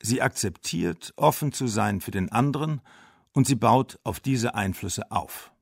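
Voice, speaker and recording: A man reading a German voice-over translation over an interview, slow and deliberate. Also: lowish voice, expressive, creaky, breathy edge, dry recording, clean studio sound. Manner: slow, deliberate dubbing read.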